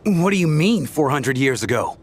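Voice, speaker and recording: A hesitant, lovestruck bodyguard. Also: male voice, fairly high pitch, very animated, normal speed. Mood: bewildered. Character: lovestruck, cagey bodyguard man from centuries past. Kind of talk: video game voice acting. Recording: game music behind the voice. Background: game music.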